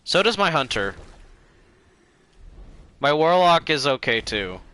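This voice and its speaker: A man's voice: a little high, very animated, takes it slowly, pushed and bright, smooth, no echo. A man chatting casually while livestreaming a game, rambling and circling back over his own sentences. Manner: rambling, easily distracted, repeats himself.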